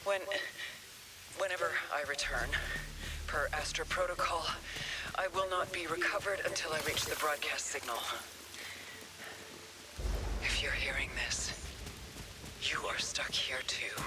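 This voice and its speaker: Give me a weary female space scout stranded alone, dictating clipped, haunted log entries in a video game. Her grim, measured voice sits in heavy, noisy ambience. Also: deep voice. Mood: grim, unsettled. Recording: noisy game audio playback. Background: loud game ambience.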